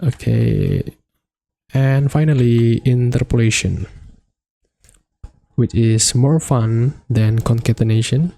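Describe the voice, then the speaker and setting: Guy walking through c# code, gravelly low voice, dry close sound, pauses a lot. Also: mellow, no room sound. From Indonesia. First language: Indonesian. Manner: halting, hesitant non-native phrasing. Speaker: coding tutorial host. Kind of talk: screen-recorded coding walkthrough.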